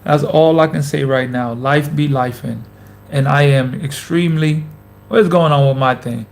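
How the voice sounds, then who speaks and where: A man's voice: fairly high pitch, fairly even intonation, moderate pace, creaky. A man in his thirties hosting a casual motivational vlog into a camera mic, talking emphatically with lots of repetition and slang. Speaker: host, former pro basketball player.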